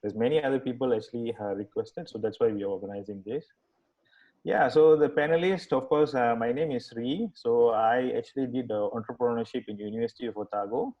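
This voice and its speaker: A man's voice: medium pitch, brisk. Man, webinar host, rambling casual talk, restarts often, dull video-call sound. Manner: rambling, many fillers and restarts.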